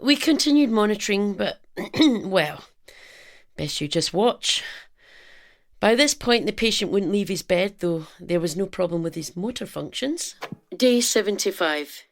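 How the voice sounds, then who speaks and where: A woman playing an earnest, clinical research scientist in a radio drama, formal and measured with some anxiety underneath. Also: medium pitch, animated. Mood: grave, clinical.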